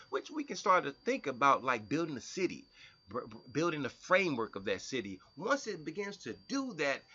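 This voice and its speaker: A man's voice: a little high, muffled recording. A man reading science text aloud and breaking off to explain it in his own casual words, often repeating and restarting. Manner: animated, breaks from reading to comment and mimic people. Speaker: health vlogger.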